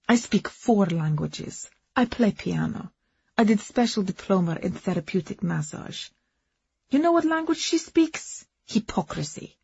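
A woman voicing a passionate immigrant wife, dramatic and breathy, in an audiobook story. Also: lowish voice, darkish tone, dry recording, lo-fi sound, exaggerated voice. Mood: aggrieved, bitter. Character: passionate Eastern European immigrant wife confessing her love affair.

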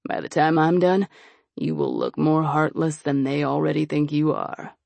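A slick, scheming young nobleman with a lowered, gravelly voice, as a woman narrator puts him on. He talks smoothly and with menace, close and dry in a storybook reading. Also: fairly even intonation, normal speed, no echo. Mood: threatening. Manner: slick, coaxing, quietly threatening. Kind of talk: audiobook character voice.

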